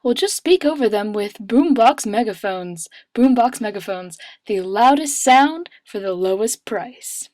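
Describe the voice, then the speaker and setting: Woman acting high-voiced spoof advert announcer. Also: lively intonation, measured pace, hardly any pauses, darkish tone, no room sound. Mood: salesy. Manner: declamatory, salesy. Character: punchy female announcer reading a spoof radio advert. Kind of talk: amateur radio play.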